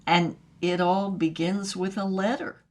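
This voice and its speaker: A woman's voice: on the low side, level tone, unhurried, close to an everyday voice. An actress playing a jaded fifties B-movie star, telling her story with dry, dramatic flair in an audio drama. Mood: rapturous. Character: jaded fifties B-movie screen goddess, wry, world-weary memoirist. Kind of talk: audio drama first-person narration.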